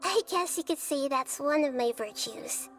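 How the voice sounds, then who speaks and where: Game role: a childlike, sage deity, high-pitched, calm and measured, soft score underneath. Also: female voice. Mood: frank, composed. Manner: measured, thoughtful, composed. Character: wise, gentle, childlike little female deity. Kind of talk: video game voice acting. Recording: background music under voice.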